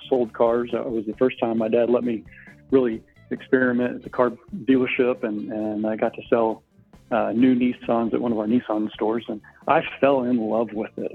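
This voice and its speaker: A middle-aged man from Texas, telling his story casually as an interview guest over a remote call. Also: mid-range voice. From Texas, United States. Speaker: interview guest, business owner. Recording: muffled, tinny phone-like connection.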